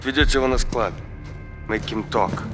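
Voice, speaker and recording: A man's voice: medium pitch, moderate pace, music in the background, natural voice. An actor playing a cruel, sadistic middle-aged general who taunts his captives menacingly in a video game.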